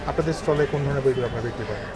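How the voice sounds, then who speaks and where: A low-pitched woman interviews informally with brief questions. She talks extremely fast, and a noisy crowd surrounds her. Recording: busy crowd noise behind.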